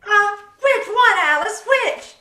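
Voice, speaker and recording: A woman's voice: very high voice, expressive, slow pace, sharp tone, full character voice. A woman playing a sensible, affectionate wife who dryly teases and coaxes in 1940s radio comedy style. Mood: teasing. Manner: dryly sarcastic, coaxing. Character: sensible, teasing, affectionate wife of a blustery husband. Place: live video call performance. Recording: roomy, distant video-call audio.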